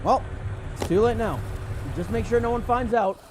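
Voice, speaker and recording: A man's voice: very high voice, moderate pace, noisy background. An actor playing a casual, gossipy male office colleague in a game, talking in an offhand, slightly hesitant way. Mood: gossipy, conspiratorial. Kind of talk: game dialogue acting.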